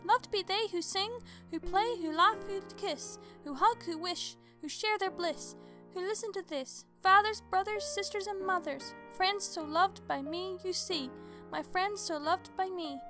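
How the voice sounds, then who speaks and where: A woman's voice: very high-pitched, a little slow, bright, forceful tone, faint music behind, voice pushed up, exaggerated voice. A woman voicing a frightened but plucky little filly pony in a storybook reading. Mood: defiant singsong.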